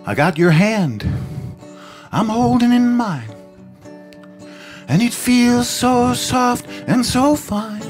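An older man, a singer-songwriter, singing his own songs into a stand mic on a small cafe stage, with soft, halting spoken introductions between songs. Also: very high-pitched, animated. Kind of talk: singing original songs with acoustic guitar. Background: his own acoustic guitar.

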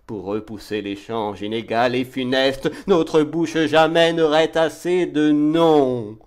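Slow, declamatory man reciting poetry. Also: mid-range voice. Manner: declamatory, impassioned, swelling from hushed to forceful. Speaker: poetry reader. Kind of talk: dramatic French poetry recitation.

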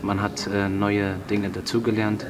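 A man's voice: fairly low pitch, monotone, unhurried, far from the mic. A man in his forties from the Koblenz area, speaking hesitantly with many fillers. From Koblenz area, Germany. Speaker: seminar participant. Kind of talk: interview testimonial. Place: indoor sports hall.

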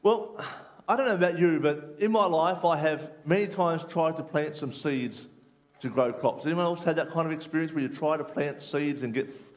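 A man's voice: fairly high pitch, normal speed. A man in his forties, a pastor, welcoming people and opening a sermon in a friendly, chatty, self-deprecating way from the stage of a large church hall.

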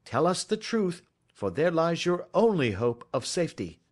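Male reader as stern detective, authoritative, sardonic, coolly accusing, animated phrasing, classic mystery audiobook. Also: on the high side, normal speed, pitched above the performer's own voice.

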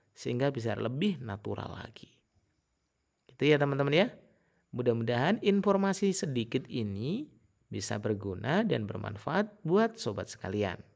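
A man giving a casual, informal software tutorial in an explanatory way. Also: medium pitch, gravelly. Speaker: tutorial host.

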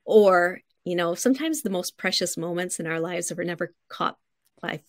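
A woman's voice: on the low side, expressive, normal speed, no room sound, studio quality. A middle-aged woman, a writing teacher, explaining conversationally as a guest on a video call from home. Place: home living room.

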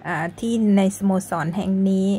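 A woman's voice: moderate pace, slight background noise. A young woman hosting a casual tour vlog, narrating politely and chattily, and speaking English with a Thai accent when she switches. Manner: polite, chatty, adds frequent soft sentence-end particles. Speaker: vlog host and tour guide. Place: football stadium museum indoors.

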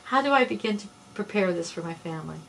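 A woman's voice: mid-range voice, animated, quick pace, creaky, fairly bright tone, a little hiss or hum, poor audio. A middle-aged woman interviewing a guest in a small cluttered office, effusive and enthusiastic. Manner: effusive, enthusiastic, laughs often. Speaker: interviewer, extension director. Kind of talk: casual interview.

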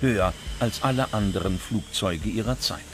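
A man reading scripted documentary narration with dramatic, measured phrasing. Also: on the low side. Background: background music.

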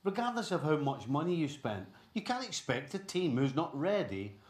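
Blunt, lively-voiced man talking football. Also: on the high side, moderate pace. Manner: blunt and emphatic. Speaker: football pundit. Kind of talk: sports panel discussion. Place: TV studio. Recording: roomy studio sound.

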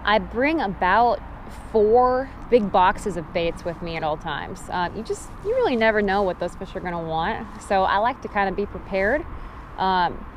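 A woman in her thirties talks straight into an action camera held at arm's length while standing outdoors by a river. Her voice sits at an ordinary middle pitch, and her delivery is friendly and practical, turning emphatic whenever a safety rule comes up. A faint wash of outdoor noise sits under the speech. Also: lively intonation, normal speed. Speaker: fishing vlogger. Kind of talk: casual how-to talk to camera. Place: outdoors on a river gravel bank.

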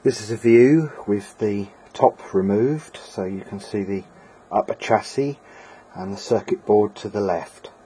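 A man giving a casual, plain-spoken and slightly halting hobbyist demonstration, presenting his own equipment. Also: on the low side, dark tone. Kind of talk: casual hobbyist demo narration. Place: indoors at a workbench. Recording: clear background noise, amateur camera audio.

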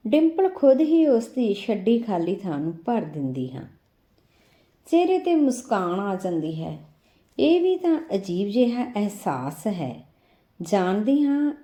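A woman's voice: medium pitch, mellow. A woman reading an emotional first-person short story as a scripted audiobook narration.

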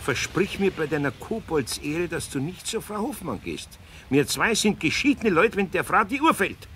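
A man's voice: sits high, very animated, normal speed, fairly bright tone, slight background noise. A man playing a grumbly but good-natured older craftsman with a Bavarian accent, colloquial and down-to-earth, in a radio play. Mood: worried.

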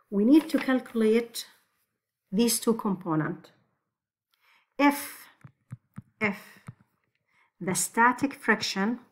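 A woman teaching physics, talking step by step through exam problems over a screen recording, with frequent restarts. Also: medium pitch. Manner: slow, step-by-step explaining with restarts.

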